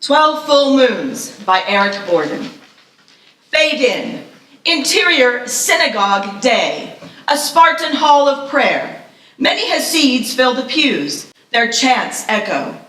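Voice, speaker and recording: A woman with a fairly high voice reads stage directions and announcements at a live event. She sounds some distance from the mic in a roomy, echoing hall. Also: big pitch swings, slow, a bit bright. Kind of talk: live event announcing and scripted screenplay narration. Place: live festival table read, echoing room. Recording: echoey hall from far off.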